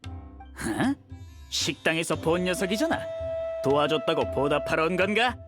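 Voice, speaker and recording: Brief: hot-headed male thug for an anime game, aggressive, highly animated, loud music behind. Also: measured pace. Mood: taunting, menacing. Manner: taunting, aggressive. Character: swaggering male thug, menacing, hot-tempered henchman. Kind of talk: anime-style game voice acting.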